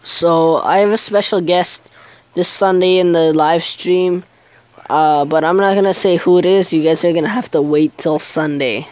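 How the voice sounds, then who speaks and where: A man's voice: on the high side, fairly even intonation, moderate pace, clean tone. A teenage boy chatting casually over his gameplay video, rambling and hesitant, with many fillers. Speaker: gaming YouTuber.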